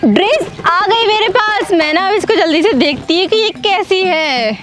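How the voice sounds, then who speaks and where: A woman's voice: very high voice, animated, normal speed. A woman playing a vain, self-centred young mother in a moral-story skit, with exclamatory, theatrical delivery. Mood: delighted, eager. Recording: music under the voice.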